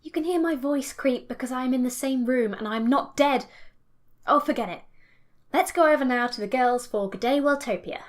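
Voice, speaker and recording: A woman's voice: high-pitched, moderate pace, natural voice. An actress playing a sensible, long-suffering female digital sprite who hosts a show, in a scripted audio comedy. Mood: exasperated. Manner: exasperated, matter-of-fact.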